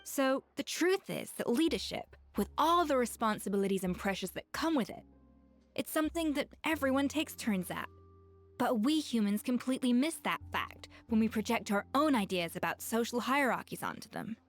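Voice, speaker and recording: Game casting: exacting, proud woman chef with high standards, lightly high voice over soft music. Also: expressive, moderate pace. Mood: earnest, reflective. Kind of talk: video game voice acting. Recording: background music behind the voice.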